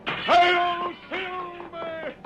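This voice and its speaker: An actor playing a righteous, resolute masked rider of the West in an old-time radio drama, with a clipped, authoritative delivery. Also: male voice, very high voice, completely flat delivery, extremely slow, bright, forceful tone, soft background music, full character voice. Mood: rousing. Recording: dull lo-fi old radio recording.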